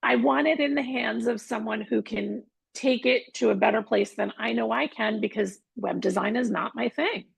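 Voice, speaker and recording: Raspy, gravelly woman in a candid chat. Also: on the high side, normal speed, hardly any pauses, no echo. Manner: candid, forthright, speaks her mind. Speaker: acupuncturist and wellness practitioner, guest. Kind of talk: casual interview chat.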